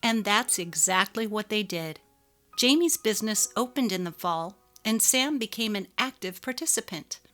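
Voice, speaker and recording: A woman narrates a short story in a scripted, dramatic reading style. Her pitch sits in the middle range, and music plays quietly underneath. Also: lively intonation, moderate pace.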